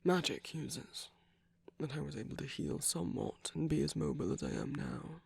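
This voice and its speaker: A man playing a gentle, wry villain who uses magic, speaking softly and haltingly in an intimate ASMR roleplay with a breathy, husky voice. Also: a little high, animated, dry recording, exaggerated voice. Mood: wistful, confiding. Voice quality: breathy, husky, slightly creaky. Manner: measured, halting, hushed delivery with pauses. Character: gentle, wry villain magic user comforting a loved one.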